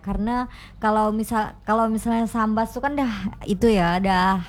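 A woman in a casual radio chat, talking lively and asking plenty of curious questions. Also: fairly high pitch, moderate pace.

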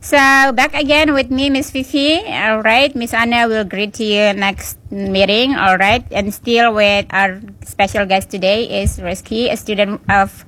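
A woman's voice: medium pitch, fairly even intonation, unhurried, hardly any pauses, fairly bright tone, low-quality recording. A woman radio host, chatting casually and encouragingly with a guest, often restarting her sentences. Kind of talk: casual on-air chat with a guest.

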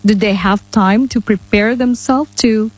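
A woman's voice: medium pitch, steady tone, unhurried, no room sound. A woman hosting a casual radio interview in a studio, chatty, with frequent "uh" and tag questions. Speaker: radio host.

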